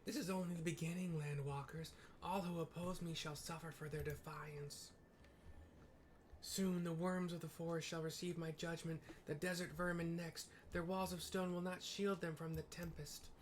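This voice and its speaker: A man's voice: a little high, steady tone, moderate pace, voice pushed up, natural voice. A vengeful wind goddess , put on by a man narrating the game, speaks in a raised, haughty tone. She threatens mortals with grand, declaiming lines.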